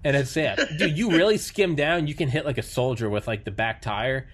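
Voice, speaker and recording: A man talking as a podcast host in loose, casual conversation. He rambles with enthusiasm, swearing freely and tripping over filler words as he gets carried away. His pitch sits right in the middle for a man. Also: brisk. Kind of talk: casual chat.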